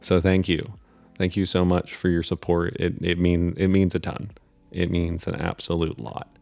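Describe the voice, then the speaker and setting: A man hosting a channel, talking casually to viewers in a halting, informal, rambling way. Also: sits low, level tone, gravelly, a little mellow, dry recording, soft background music. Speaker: channel host and writer. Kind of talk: casual outro chat to viewers.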